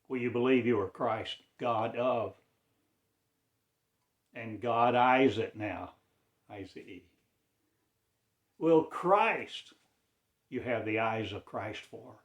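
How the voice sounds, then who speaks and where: A man delivering a rambling spiritual monologue in a slow, drawn-out, cryptic declaiming manner. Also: medium pitch, reverberant.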